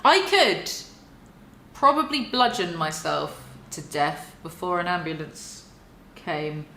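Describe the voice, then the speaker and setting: A woman reads aloud with mock drama and keeps cutting in with sarcastic asides. Her voice is bright and sharp. The sound carries a noticeable roomy echo. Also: lowish voice, sing-song, slow, slight background noise. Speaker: YouTuber reading and mocking a book. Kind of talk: dramatic reading with sarcastic commentary.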